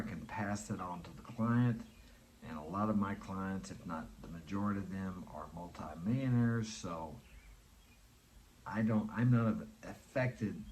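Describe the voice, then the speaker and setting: Man in his 60s, low voice, earnest motivational monologue, faint background hiss. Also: a little mellow. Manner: earnest, preachy, repeats points for emphasis. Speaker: motivational speaker.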